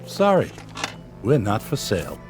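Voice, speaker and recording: An actor playing a confident, wry and defiant small-town newspaper editor in a radio drama. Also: male voice, on the low side, huge pitch swings, unhurried, over music.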